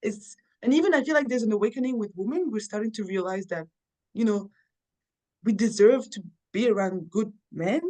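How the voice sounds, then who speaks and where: A woman's voice: medium pitch, fairly quick, mellow. A woman from Belgium, a podcast guest, talking earnestly and telling personal stories in casual conversation with frequent restarts.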